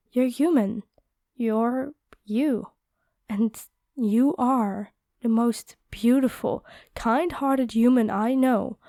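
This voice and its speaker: A woman playing a kind, encouraging vampire fighter in a roleplay audio drama, speaking gently and earnestly. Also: fairly high pitch, no room sound, clean studio sound.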